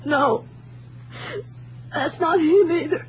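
A woman's voice: sits high, slow, narrow, tinny audio. An actress playing a grieving young newlywed wife, crying as she speaks in 1950s radio melodrama style. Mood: tearful grief. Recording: thin, noisy 1950s radio recording with hum.

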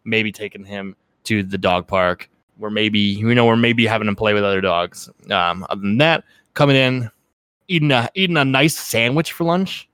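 A young man co-hosts a podcast in a relaxed, easygoing way, cursing casually and laughing often. His voice sits a bit low and sounds dry and close, with no room echo. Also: normal speed, clear, resonant tone. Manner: laid-back, swears freely, laughs often. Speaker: podcast co-host.

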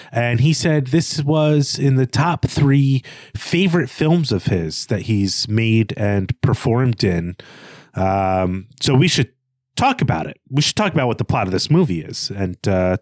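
Man, somewhat deep pitch, casual podcast co-host, thoughtful hesitant phrasing, very little room sound. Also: darkish tone, dry recording. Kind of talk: casual film discussion.

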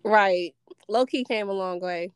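A woman's voice: mid-range voice, unhurried, smooth, dry recording, clean studio sound. Slightly nasal laid-back woman. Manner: laid-back, uses slang. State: recovering from a sinus infection. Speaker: podcast co-host. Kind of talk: casual chat.

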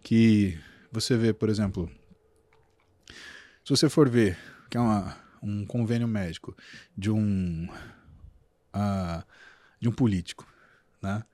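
A man's voice: on the low side, slow, long pauses, no echo. Emphatic Brazilian man, dry close sound. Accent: Brazilian Portuguese. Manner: animated, storytelling, emphatic repetition. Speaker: physician guest. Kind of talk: casual podcast interview.

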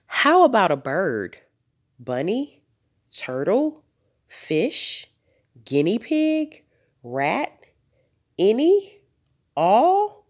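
Woman acting eager little girl, storybook, pitched up, lively swoops, coaxing, scheming. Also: slow pace, heavily acted. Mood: pleading. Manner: coaxing, listing persuasively.

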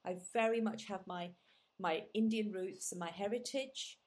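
A woman's voice: medium pitch, normal speed. Reflective woman podcast host. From England. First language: Gujarati. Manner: warm, reflective, many fillers and restarts. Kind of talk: casual conversational interview.

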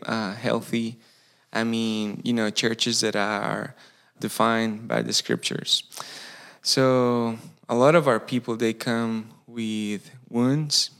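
A man's voice: medium pitch, fairly bright tone, smooth. A man from Costa Rica with a Spanish accent, a campus pastor telling his story in a casual interview, who speaks earnestly and restarts phrases as he searches for English words. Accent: Costa Rican Spanish.

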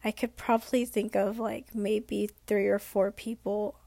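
A young woman who is sick and losing her voice talks through a late-night vlog. Her voice is hoarse and gravelly and at times fades to a whisper, with a flat, even melody. She rambles and reflects, and the sound is dry and close with no room around it. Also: mid-range voice, a little slow, no echo. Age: young adult. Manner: rambling, reflective, many fillers.

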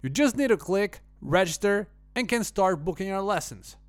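Male podcast host, high voice, dry close sound, scripted intro into interview questions. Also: animated, normal speed, dry recording.

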